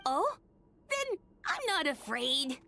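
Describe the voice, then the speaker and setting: A woman's voice: high voice, sing-song, slow pace, pushed and bright, soft background music, exaggerated voice. A woman voicing an innocent little dragon child in a video game, earnest and childlike. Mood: hopeful, eager. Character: innocent little young dragon longing to be human. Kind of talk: video game storybook acting.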